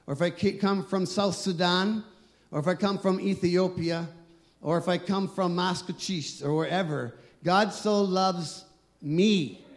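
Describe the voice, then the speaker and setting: A man pastor preaching a sermon, emphatic and slowing down on key phrases. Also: sits high.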